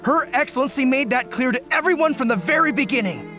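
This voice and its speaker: An actor playing a fervent, loyal young resistance general in a video game, earnest and impassioned. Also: male voice, very high-pitched, expressive, quick pace, over music. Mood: defiant. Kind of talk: dramatic video game voice acting.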